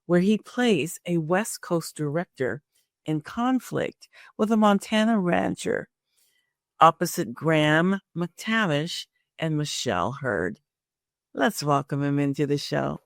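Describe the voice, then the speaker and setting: A woman's voice: sits low, lively intonation, slow pace, no echo. Woman podcast host, very dry close sound. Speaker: podcast host and career coach. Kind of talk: scripted introduction and friendly interview chat.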